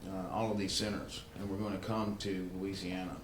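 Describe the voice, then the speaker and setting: A man with a fairly low voice hosts a meeting in a roomy, echoing space. He talks informally and haltingly, doubling back on words. There is faint noise behind him. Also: little pitch movement. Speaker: event host. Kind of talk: informal spoken remarks to a conference room. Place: good-sized meeting room. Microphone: livestream microphone, not amplified in room.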